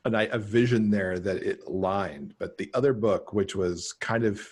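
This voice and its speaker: A middle-aged man chatting casually as a podcast guest on a video call, pausing to think as he goes. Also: fairly low pitch, darkish tone, husky. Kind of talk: casual conversational interview. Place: home room, on a video call.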